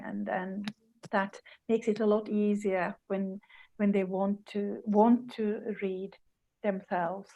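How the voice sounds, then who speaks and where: A woman's voice: fairly high pitch, darkish tone, rough recording. A middle-aged woman co-hosting a live video chat, talking with many restarts and hesitations. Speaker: co-host. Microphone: video call.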